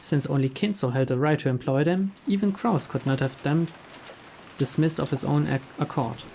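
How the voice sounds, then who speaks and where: A man's voice: a little high, moderate pace, vocal fry, darkish tone. Man reading visual novel narration aloud. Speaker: narrator, game playthrough host. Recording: faint rain noise behind.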